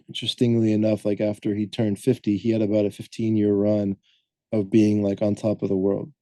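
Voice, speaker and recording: A man co-hosting a podcast, talking casually and loosely with many pauses and filler sounds as he lays out background he has looked up. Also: fairly low pitch, dark tone, no room sound. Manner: rambling, hesitant, lots of "um" and self-corrections. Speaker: podcast co-host presenting the film research.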